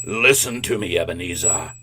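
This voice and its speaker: Radio drama role: grave, sorrowful male phantom, deep register, grand flowery delivery, full of remorse. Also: expressive, normal speed, bright, forceful tone, husky, full character voice. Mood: anguished, forceful. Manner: declamatory, flowery, solemn. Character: mournful ghost of a dead businessman, grave and remorseful. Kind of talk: old-time radio drama acting.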